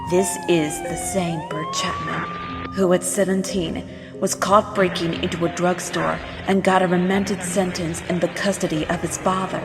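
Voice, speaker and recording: A woman acting as hostess and narrator of a scripted story, telling it in a dramatic storytelling style. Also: mid-range voice. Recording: long reverb, music behind.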